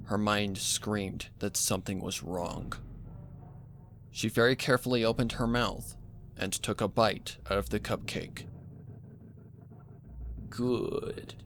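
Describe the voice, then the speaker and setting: A man's voice: lowish voice, steady tone, measured pace, a bit bright, slight background noise. A man reading a scripted horror story as narrator, with music playing behind him. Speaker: fan fiction narrator.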